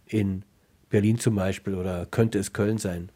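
A man's voice: low voice, fairly even intonation, unhurried, warm tone. A man asking precise, probing questions as a radio interviewer.

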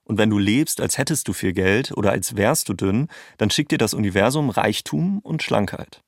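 A man's voice: on the low side, animated, moderate pace, gravelly, no room sound, clean studio sound. A man hosting and narrating a documentary podcast from a script.

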